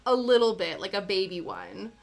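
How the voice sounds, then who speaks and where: A young woman from Canada chatting casually with viewers on a live stream, answering chat with giggly asides. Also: on the high side. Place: home, living in the UK. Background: faint background music.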